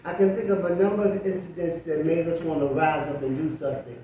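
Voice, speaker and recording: An older woman in her seventies or beyond, speaking as an interview guest in a reflective, emphatic and deliberate storytelling way. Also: deep voice, steady tone, mellow. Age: 70s or older. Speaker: interview guest, sociologist and teacher. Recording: very echoey room, distant mic, faint noise.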